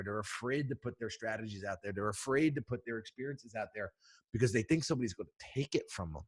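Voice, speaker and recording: Deep-voiced man coaching with punchy emphasis. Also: slightly rough. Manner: energetic, emphatic, addresses viewers directly with frequent "right?" and "okay?". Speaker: brand coach. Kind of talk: instructional video talk.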